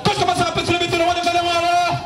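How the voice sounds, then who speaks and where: High-voiced gospel rapper shouting live. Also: male voice, completely flat delivery, quick pace. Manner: shouted, rhythmic rap delivery with crowd call-outs. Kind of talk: live gospel rap performance. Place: outdoor night concert stage. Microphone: handheld mic. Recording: roomy, distant live concert audio with music. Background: backing music.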